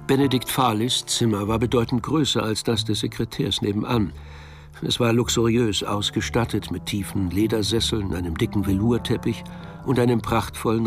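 A man narrating a crime story from a script in a measured storytelling manner. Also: lowish voice.

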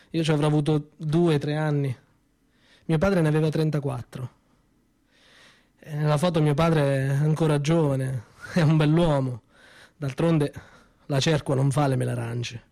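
A man tells a theatre monologue as if speaking to a friend. His voice sits a touch high for a man. He stumbles over repeated words and lets some phrases sink almost to a murmur. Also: fairly even intonation, normal speed. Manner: conversational, with hesitant repeated words and sudden soft drops. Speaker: stage actor performing a monologue. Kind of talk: theatrical monologue, first-person storytelling.

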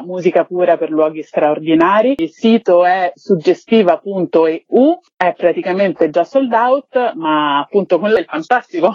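A woman's voice: mid-range voice, a little mellow, no echo. A woman being interviewed on the radio as a festival organiser, talking in an enthusiastic, expansive, informal way. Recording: dull, lo-fi audio.

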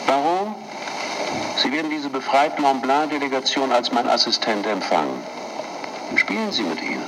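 A man's voice: medium pitch, sing-song, moderate pace, noisy background, lightly acted. An actor playing a commanding, condescending male company boss in a radio drama. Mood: commanding, scheming.

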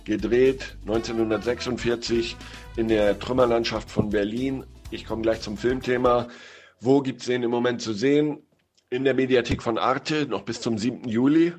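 A man speaking as a guest film critic on the radio, explaining in a measured way. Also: mid-range voice, steady tone, darkish tone, soft background music.

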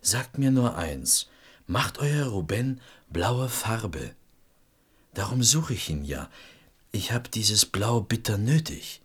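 An actor playing a desperate, awestruck potter in a radio play, pleading in a very husky voice. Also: male voice, on the low side, big pitch swings, heavily acted. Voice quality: very husky, breathy. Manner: slow, urgent pleading. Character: desperate, awestruck male potter seeking blue paint.

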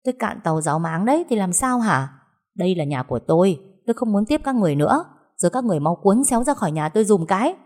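A woman's voice: medium pitch, big pitch swings, fast, darkish tone. A woman voicing a cold, scornful young wife who talks back sharply. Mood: defiant. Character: cold defiant young wife leaving her husband.

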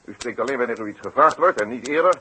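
An actor playing a brisk, plain-spoken, authoritative police inspector in a 1960s radio drama. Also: male voice, medium pitch, lively intonation, pushed and bright, low-quality recording. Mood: stern, impatient. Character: no-nonsense Dutch police inspector leading interrogations. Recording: old radio recording with hiss.

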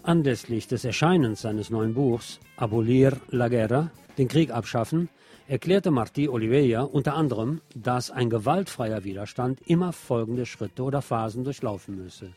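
A man's voice: mid-range voice, moderate pace, a little mellow, faint music behind. A man presenting scripted radio news with wry asides.

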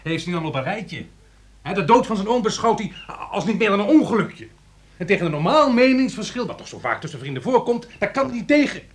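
An actor in a Dutch radio play playing an agitated, indignant, sour friend who insists and repeats himself. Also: male voice, high-pitched, big pitch swings, fast talker.

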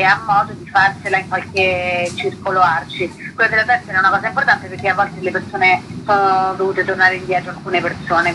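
A woman being interviewed on radio as a comedy guest, in a mid-pitched voice. She talks informally and hesitantly, feeling for the right words, with clear background noise on the recording. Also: pushed and bright, poor audio. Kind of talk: spontaneous conversational radio interview.

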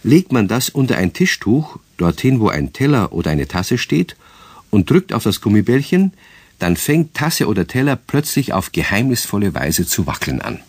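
A man's voice: fairly low pitch, big pitch swings, normal speed, husky, no echo, full character voice. A man narrating a children's radio play as a scripted storyteller. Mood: storytelling.

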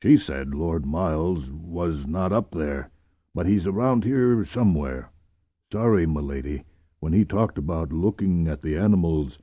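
A man voicing a gruff, dutiful sergeant bodyguard who talks in a plain, deferential way, in an audiobook. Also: dry recording, narrow, tinny audio. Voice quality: low, rough, husky. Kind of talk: audiobook character voice.

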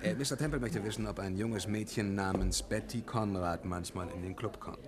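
An actor playing a brisk, sociable club-goer making introductions in a 1950s German radio play, affable and hurried. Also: male voice, on the low side, over a noisy crowd, natural voice.

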